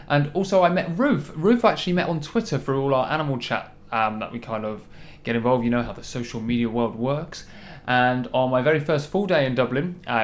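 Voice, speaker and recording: A man hosting a casual podcast, chatty and a little croaky from a hangover, with lots of ums. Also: medium pitch. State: hungover, a bit croaky. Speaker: podcast host. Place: large room in a hostel. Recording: echoey large room.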